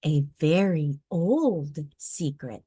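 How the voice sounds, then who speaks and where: A woman voicing a loving mother raccoon in a storybook, gentle, soothing and reassuring. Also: sits low, lively intonation, slow pace, slightly rough, dry recording, heavily acted. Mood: secretive, warm. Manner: gentle, soothing, teasing tenderness.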